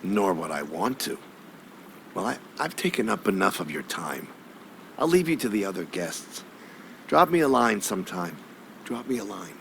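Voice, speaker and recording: Weary, gravelly old newspaperman. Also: low-pitched, very animated, fairly bright tone, a little hiss or hum, heavily acted. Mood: resigned. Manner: avuncular, measured, florid phrasing. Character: weary, courtly old newspaperman facing retirement. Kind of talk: video game voice acting.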